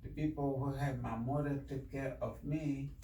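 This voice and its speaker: A man with a Cameroonian accent, a bishop answering interview questions in halting, repetitive short phrases. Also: medium pitch, steady tone, mellow. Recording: echoey room, distant mic, faint noise.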